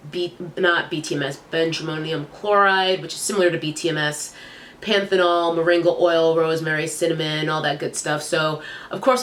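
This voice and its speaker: A young woman reviewing hair products in a chatty, upbeat vlog, sounding congested and nasal from a cold. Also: fairly low pitch. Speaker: beauty vlogger. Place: small room indoors.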